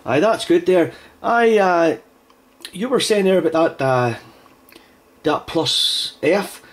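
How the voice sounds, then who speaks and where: A man's voice: sits high, lively intonation, slow. A man operating an amateur radio, chatting casually with another operator over a two-way link.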